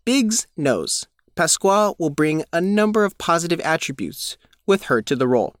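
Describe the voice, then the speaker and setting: A man's voice: fairly high pitch, sing-song, normal speed, dry recording, studio quality. A man narrating a scripted news feature as a reporter.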